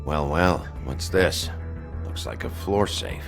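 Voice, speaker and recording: Hard-boiled sheriff for a game: deep, creaky, terse, threatening interrogator. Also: male voice, animated, slow, faint music behind, full character voice. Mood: cold, insistent. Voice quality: gravelly. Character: gruff, menacing, hard-boiled male wolf sheriff. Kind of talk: video game voice acting.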